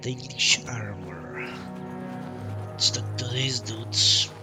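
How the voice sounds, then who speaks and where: A male game streamer commentates on his live playthrough and reads out dialogue choices. He talks slowly at a medium pitch, with music clearly playing behind him.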